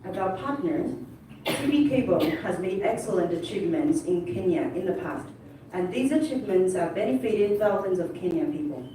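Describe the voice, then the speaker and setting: A woman interpreting a formal speech in measured, scripted sentences. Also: medium pitch, animated, dark tone. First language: Chinese. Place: large indoor hotel event room. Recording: very echoey hall, distant mic, noisy.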